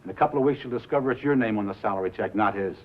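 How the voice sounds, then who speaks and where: Male actor as offhand, wisecracking radio staffer, rapid delivery, dull vintage film sound. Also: fairly low pitch, lightly acted. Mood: cynical. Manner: matter-of-fact, wisecracking. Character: cynical, pragmatic radio colleague, brisk and offhand. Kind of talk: 1950s film drama dialogue.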